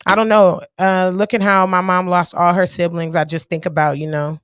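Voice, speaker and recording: Woman, thirties, American accent, lower voice, dry close sound, candid slangy podcast chat. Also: little pitch movement, normal speed, smooth, no echo. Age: 30s. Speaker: podcast host. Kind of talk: casual chat.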